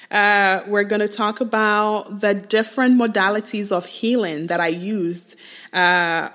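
A young woman from West Africa talking alone about her life, halting and thoughtful, often restarting her phrases. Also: medium pitch. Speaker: podcast host. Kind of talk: reflective personal monologue.

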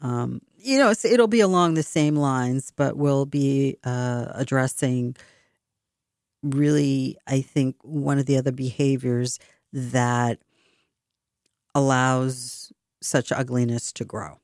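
A woman's voice: a bass voice, slow pace, darkish tone, dry recording, studio quality. A woman with a Boston accent hosting a community radio talk show, speaking conversationally with fillers and restarts. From Boston area. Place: radio studio.

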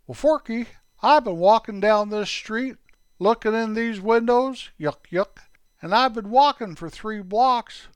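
A man's voice: high-pitched, measured pace, clean tone, dry recording, pitched above the performer's own voice. A man voicing Earl, a wide-eyed, easily impressed young boy who follows his friend around. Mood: curious. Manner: ends nearly every sentence with his pal's name.

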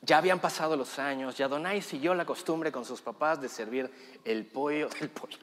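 A man spins an improvised comic tale, animated and laughing along the way. His voice runs fairly high over a faint background hiss. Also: fairly quick, long reverb tail. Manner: animated, laughing, takes audience suggestions. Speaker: improv storyteller. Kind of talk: improvised comedy storytelling.

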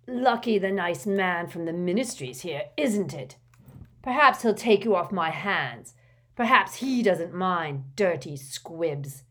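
A woman's voice: lowish voice, animated, slow, heavily acted. A furious, snobbish middle-aged wizard father played by a woman from a storybook. He bellows and jeers with open contempt, spitting out his words as a domineering bully. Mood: jeering. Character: raging, sneering middle-aged pure-blood wizard father. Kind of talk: dramatised audiobook character voice.

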